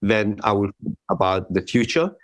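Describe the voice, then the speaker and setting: A man's voice: on the low side, expressive, moderate pace. A man from Mauritius with a French-Mauritian accent, speaking casually as an interview guest in a chatty way, often with a chuckle. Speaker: interview guest, care home manager. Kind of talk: casual interview answers with personal anecdotes.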